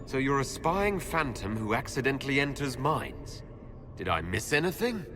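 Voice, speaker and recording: An actor voicing a proud, scornful elven hero in a video game, challenging and sardonic, with music behind. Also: male voice, mid-range voice, sing-song, moderate pace, natural voice. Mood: wary, sardonic.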